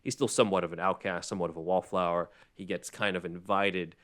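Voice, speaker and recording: A man's voice: low-pitched, steady tone, quick pace, fairly bright tone. A man hosting a podcast, explaining and recapping in a conversational way with rambling asides. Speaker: podcast host.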